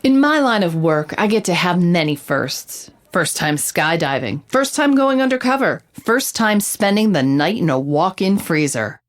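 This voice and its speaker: A woman playing a bold, wry investigative reporter who narrates her case in a dramatic, noir-style audio drama. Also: lowish voice.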